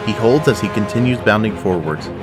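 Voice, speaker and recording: A man narrates an audio drama in a deep voice. His delivery is scripted and dramatic, set over prominent background music. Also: animated, brisk.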